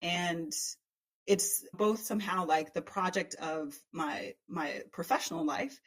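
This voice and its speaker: A woman's voice: fairly low pitch, measured pace. A woman from Texas in her thirties, a community organizer, answering interview questions in an earnest, reflective way. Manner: earnest and reflective, with many "like" fillers. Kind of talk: conversational interview.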